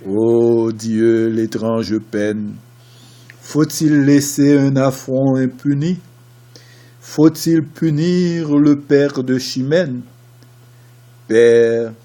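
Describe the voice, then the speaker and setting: A man playing a young nobleman torn between love and honour in a classical French verse tragedy, declaimed in a solemn, measured way. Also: mid-range voice, warm tone.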